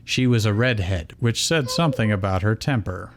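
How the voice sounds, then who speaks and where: A man's voice: on the low side, animated, moderate pace. A man reading fan fiction aloud as theatrical story narration. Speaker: reader of fan fiction, host.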